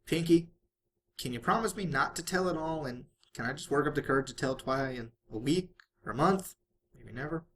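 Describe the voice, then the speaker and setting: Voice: mid-range voice, expressive, fairly quick, slight background noise, close to an everyday voice. A storybook reader voicing a stubborn, honest female farm pony with a folksy, reluctant way of talking. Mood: reluctant, resigned.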